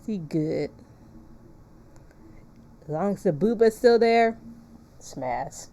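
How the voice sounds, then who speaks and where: Mellow, unhurried woman streaming a game. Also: mid-range voice, animated, slight background noise. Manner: playful, joking, crude humor. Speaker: livestreamer. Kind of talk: casual game-stream chat and reading aloud.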